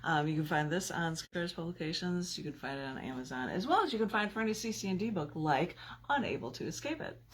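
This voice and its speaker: A woman poet with a husky, gravelly voice, reading her work theatrically. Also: lowish voice, expressive, quick pace. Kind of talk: dramatic poetry reading with casual asides.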